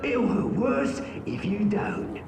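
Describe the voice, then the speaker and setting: A man's voice: sits high, expressive, slow, over loud music, heavily acted. A man playing a mocking, menacing gangster villain with a showman's manner in a cinematic video game. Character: theatrical, sneering, menacing male gangster villain. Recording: echoey space with clear background noise.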